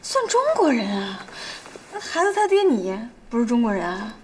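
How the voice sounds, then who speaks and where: An assertive young wife, speaks in a slightly high, animated voice. She is direct and colloquial, over faint background noise. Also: normal speed. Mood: defiant, coaxing. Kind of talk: naturalistic television drama dialogue.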